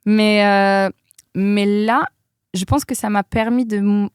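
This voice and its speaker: Young woman, french isn't her first language, lots of ums, clear voice, dry close recording. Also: medium pitch, steady tone, takes it slowly, no room sound. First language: not French. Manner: hesitant, many fillers, laughs often. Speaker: interview guest. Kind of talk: casual interview, personal story.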